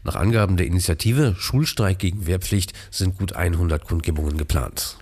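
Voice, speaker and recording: A man's voice: sits low, a little fast, darkish tone, breathy edge. A man reading a scripted radio news bulletin. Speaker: radio newsreader.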